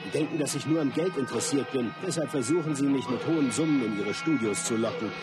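A man's voice: mid-range voice, fast, over loud music, natural voice. A man playing a proud, driven martial arts film star recounting his career in a scripted radio play monologue. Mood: proud, determined.

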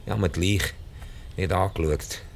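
An older actor with a Swiss accent playing an ailing, ruthless rich emigrant in a radio drama, halting, blunt and short of breath. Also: male voice, low voice, gravelly, slight background noise. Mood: bitter, cold. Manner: halting, blunt, world-weary monologue. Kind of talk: radio drama acting in Swiss-coloured German.

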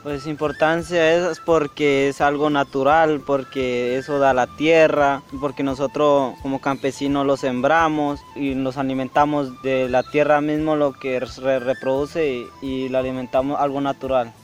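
Brief: halting man from Colombia, interviewee, middling pitch, faint music behind. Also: little pitch movement. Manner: halting, restarts words, sings a chant slowly. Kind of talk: casual interview answers.

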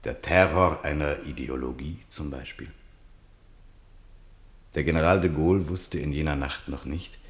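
A grave radio-play narrator, with an extremely deep voice and slow delivery. He recounts political events in a reflective, literary style.

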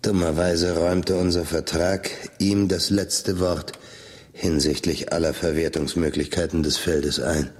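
A man's voice: low voice, little pitch movement, unhurried, darkish tone. An actor playing a cold, calculating businessman who narrates a radio drama in a dry, matter-of-fact, sardonic way. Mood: wry, self-satisfied. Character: cold, calculating businessman recounting his scheme. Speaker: narrator and lead character.

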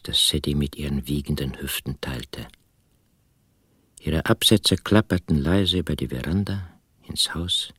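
An actor playing a brooding, wounded village husband in a radio drama, husky and intimate, often slipping into near-whispers. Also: male voice, extremely low pitch, no echo, studio quality, full character voice. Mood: brooding, suspicious. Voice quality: husky, hushed delivery. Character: brooding, wounded village husband narrating his own story. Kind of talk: German radio drama, first-person narration and dialogue.

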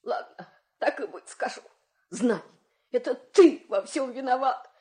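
A stubborn farmer's wife from a folk-tale audio play. Her voice is high, her delivery theatrical, made for nagging and complaint. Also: lively intonation, a little slow, mellow. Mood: accusing, whining. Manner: whiny, theatrical.

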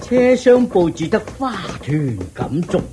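An actor playing a jovial, curious king in a radio drama, with a rough husky voice and a Hong Kong Cantonese accent, exclaiming eagerly. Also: sits high, big pitch swings, unhurried, dark tone, soft background music. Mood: pleased, impressed. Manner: exclaiming, chuckling, repeating words eagerly. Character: excitable, jovial king, curious and easily delighted.

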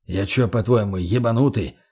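A man's voice: on the low side, normal speed, mellow, slightly rough. A man playing a big, crude, easygoing thug in an audiobook, casual, blunt and slangy.

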